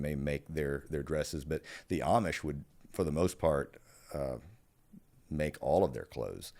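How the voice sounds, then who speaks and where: Deep-voiced man with a Pennsylvania Dutch accent. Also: a little mellow. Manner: thoughtful, halting, with frequent fillers and restarts. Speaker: podcast guest. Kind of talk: conversational podcast interview.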